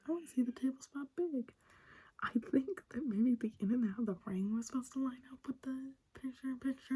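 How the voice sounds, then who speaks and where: Brief: young woman, soft ASMR whisper, very breathy, mellow tone, chatty and eager. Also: high-pitched, rough recording. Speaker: wrestling recap host. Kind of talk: ASMR whispered show recap.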